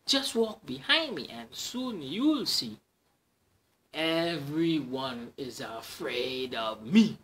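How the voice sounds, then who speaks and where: A woman voicing a tiny, clever, boastful male mouse in a squeaky, bright voice. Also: sing-song, slow pace, a little hiss or hum, heavily acted.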